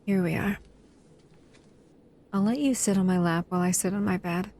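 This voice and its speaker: A woman voicing a powerful shadow monster who is possessive yet tenderly protective, speaking softly and hesitantly in an intimate roleplay addressed to the listener, often near a whisper. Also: mid-range voice, moderate pace, close to an everyday voice. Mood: tender, soothing. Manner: soft, soothing, hesitant, often dropping into whispers.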